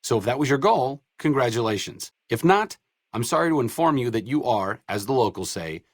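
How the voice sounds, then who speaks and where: Sardonic wasteland doctor, lively intonation. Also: male voice, medium pitch, fairly quick, no echo. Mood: scornful. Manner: dry, articulate, wry. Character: sardonic, principled male doctor-researcher in post-apocalyptic wasteland. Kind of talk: video game voice acting.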